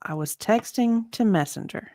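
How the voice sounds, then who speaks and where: A woman guest on a livestream, reading a deposition part and chatting in an animated, conversational way. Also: on the high side, normal speed, no echo, clean studio sound. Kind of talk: dramatic deposition reading and casual chat.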